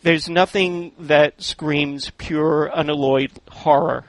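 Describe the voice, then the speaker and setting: A man hosting a casual film roundtable, speaking haltingly with wry, drawn-out emphasis. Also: fairly high pitch, fairly even intonation, no room sound, low-quality recording. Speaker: podcast host.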